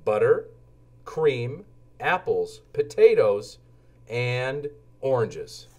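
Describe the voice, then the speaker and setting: A man voicing a kindly, gently firm mother cat in a children's storybook, with a measured, motherly manner. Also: medium pitch, big pitch swings, background noise. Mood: instructive.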